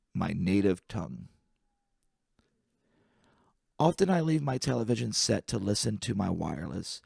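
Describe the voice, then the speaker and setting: A man with a lowish voice and a lot of gravelly fry reads light verse aloud. His delivery is wry and deliberate, each word carefully pronounced. The sound is close and dry, with very little room in it. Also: darkish tone, no echo. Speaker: amateur reader of poetry. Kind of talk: poetry reading aloud.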